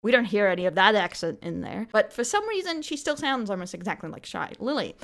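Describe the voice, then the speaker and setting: A woman's voice: mid-range voice, lively intonation, fairly quick, gravelly, a bit bright. A woman hosting a commentary video, explaining clips in a skeptical, critical way. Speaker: commentary host. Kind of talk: reaction commentary.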